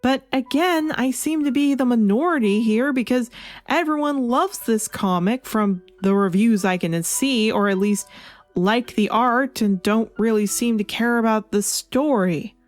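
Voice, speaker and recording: A woman with a fairly high voice delivers a scripted review. Her delivery is emphatic and theatrical, like a storyteller addressing viewers. Faint music plays behind her. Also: a little slow. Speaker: comic reviewer. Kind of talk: scripted video review narration.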